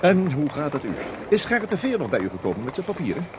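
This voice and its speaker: An actor playing a cheerful, courteous seafaring gentleman in a Dutch radio drama, with polite old-fashioned phrasing. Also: on the high side, huge pitch swings, quick pace. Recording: radio play with background ambience noise.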